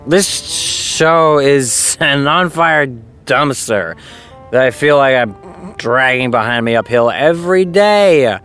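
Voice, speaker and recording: A man's voice: medium pitch, fairly bright tone. A man voicing a jaded, sarcastic actor in an audio drama, with a dry, drawling delivery. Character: jaded actor who plays a vampire, sardonic.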